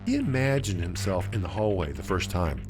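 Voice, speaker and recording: Low-voiced man narrating suspense, very expressive. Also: over music. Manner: suspenseful, deliberate phrasing. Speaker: storyteller. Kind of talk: dramatic scripted narration of a mystery story.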